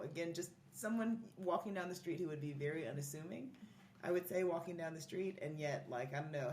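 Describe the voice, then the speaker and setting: A woman with a low voice tells stories in a casual, effusive way as a podcast guest. She sits a little away from the mic in a roomy space, with faint noise around her. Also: a little mellow, far from the mic. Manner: effusive, laughs easily. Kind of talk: casual conversational storytelling. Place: live in-person podcast recording in a room.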